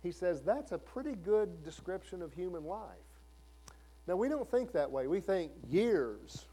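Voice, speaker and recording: A man's voice: high-pitched, very animated, a little slow, dark tone, slightly rough, low-quality recording. A man in his fifties preaching a sermon in a conversational way, asking the listeners questions. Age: 50s. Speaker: pastor.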